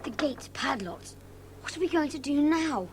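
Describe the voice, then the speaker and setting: A cautious, high-voiced young schoolboy. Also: female voice, expressive, normal speed, noisy background. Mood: wary. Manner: hesitant, reluctant, old-fashioned polite slang. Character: cautious, doubtful, grumbling young schoolboy sidekick. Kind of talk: dramatised children's audiobook acting.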